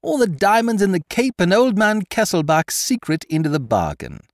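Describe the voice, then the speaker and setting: A man voicing a cocky adult gentleman thief in an audiobook, with brisk, mocking, triumphant speech. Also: mid-range voice, sing-song, dry recording, clean studio sound, voice pushed up. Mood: gleeful. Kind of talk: audiobook character voice.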